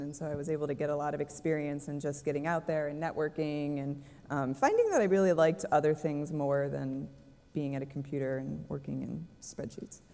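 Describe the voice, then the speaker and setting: A woman's voice: deep voice, warm tone. A woman speaking on a conference panel, chatty and self-deprecating. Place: conference stage. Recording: reverberant conference hall.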